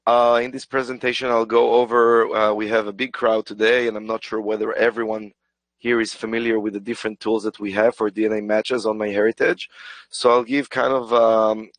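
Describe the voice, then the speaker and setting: A man's voice: lowish voice, no room sound. A man presenting a webinar, explaining product tools with many hesitations and fillers, while losing his voice. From Israel. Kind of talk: presentation walkthrough.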